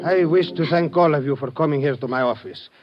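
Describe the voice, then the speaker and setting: An actor playing a courteous, formal police lieutenant with measured, official speech in a 1950s-style radio drama. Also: male voice, a little high, soft background music, natural voice. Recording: dull vintage radio recording.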